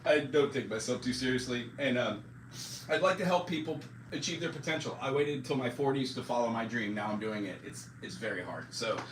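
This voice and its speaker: A man's voice: medium pitch, a little fast, a little hiss or hum. A man in his forties chatting casually on a live stream as a music teacher. He talks loosely and pokes fun at himself, with plenty of fillers. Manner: loose and self-deprecating, with many fillers. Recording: roomy echo, distant mic, music underneath.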